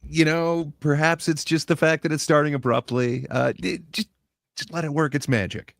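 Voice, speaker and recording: A man's voice: on the high side, steady tone, moderate pace, vocal fry, dry recording, studio quality. A man chatting casually as a panelist on a livestream.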